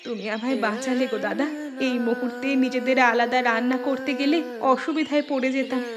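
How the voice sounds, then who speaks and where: A woman's voice: high-pitched, fairly even intonation, fairly quick, music in the background, natural voice. A woman voicing a humble but calculating mother in a cartoon. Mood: grateful. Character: humble, calculating mother moving in with her brother. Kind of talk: Bengali cartoon voice acting.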